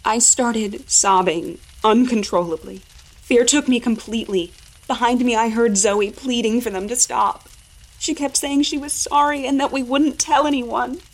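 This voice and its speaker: A woman's voice: fairly high pitch, fairly even intonation, measured pace, poor audio. A woman reading a first-person horror story as a dramatic narrator.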